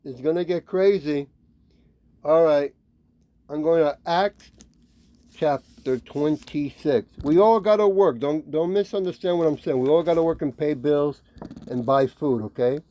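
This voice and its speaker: A man giving an informal, admonishing sermon, talking conversationally with frequent checking tags and pausing to read scripture. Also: on the high side, lo-fi sound. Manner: conversational, peppered with "right?" and "okay?" tags; admonishing, warning. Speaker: religious street-style preacher and teacher.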